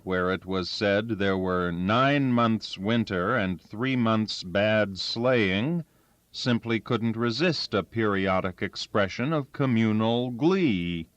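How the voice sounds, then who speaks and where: A man's voice: on the low side, fairly bright tone, no room sound. A man reading a story aloud as scripted audiobook narration. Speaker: audiobook reader. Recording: dull, lo-fi, dry recording.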